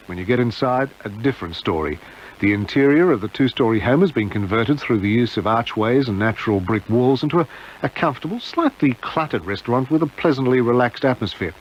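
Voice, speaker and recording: Man, medium pitch, wry scripted radio review, dull old tape with faint hiss. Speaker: restaurant reviewer.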